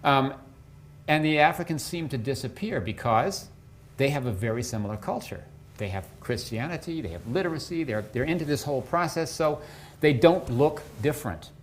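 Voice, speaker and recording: A man's voice: mid-range voice, lively intonation, normal speed. A man giving an unscripted academic lecture, explaining his points step by step. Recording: faint background room noise.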